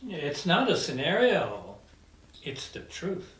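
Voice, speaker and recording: A petty, self-important male housemate in a TV dramedy, played by a man at a table read. He is blunt and deadpan, yet his pitch moves around a lot. The room echoes and he is a little off-mic. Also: slow pace, far from the mic, exaggerated voice. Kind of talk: table read of a TV pilot script.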